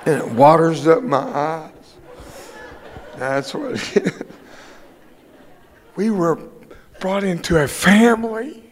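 A man's voice: a little high, takes it slowly, pauses often, in a large hall. An older man whose first language was Spanish, preaching a folksy sermon with humorous personal stories in a church. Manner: humorous storytelling, emphatic preaching. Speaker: guest preacher. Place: church sanctuary.

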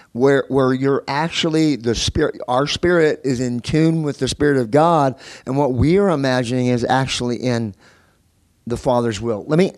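Male preacher teaching scripture, laid-back chatty delivery, chuckles a lot. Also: medium pitch. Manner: chatty, laughs often, repeats words. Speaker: pastor. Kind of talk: teaching sermon.

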